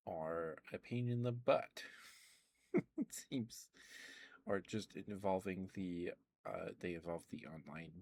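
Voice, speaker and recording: A man streaming an ASMR session, rambling softly and chatting with his viewers in a relaxed way. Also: low voice. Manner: soft rambling, talks to chat.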